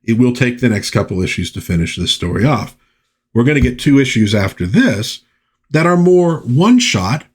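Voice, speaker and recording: A man hosting a casual review, talking in a halting, musing way with pauses and repeated phrases. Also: mid-range voice, sing-song, slightly rough. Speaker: host of a comic book review series.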